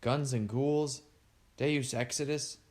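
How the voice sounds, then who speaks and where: A man reading aloud, voicing a small, timid male dragon companion who gets jumpy and excited. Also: mid-range voice, takes it slowly, voice pushed up, natural voice.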